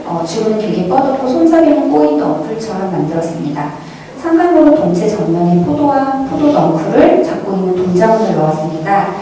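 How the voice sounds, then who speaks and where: Give me a woman presenting a formal conference paper, high-pitched and lively in intonation, in a big reverberant hall. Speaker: academic presenter at a museum conference. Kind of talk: formal prepared lecture presentation. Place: large echoing indoor hall at a conference. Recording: very echoey hall, distant mic, faint noise.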